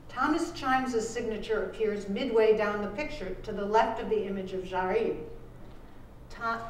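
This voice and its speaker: A woman author reading her book aloud at a live event, measured and deliberate with pauses. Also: mid-range voice. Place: live book launch performance in a room. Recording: echoey room, distant mic, faint noise.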